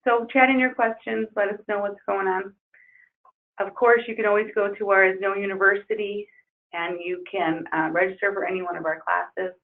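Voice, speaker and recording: A woman trainer losing her voice, leading an upbeat software webinar walkthrough. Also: mid-range voice, level tone, moderate pace. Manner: upbeat, enthusiastic promoting. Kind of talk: webinar screen-share walkthrough. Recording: tinny phone-like webinar audio.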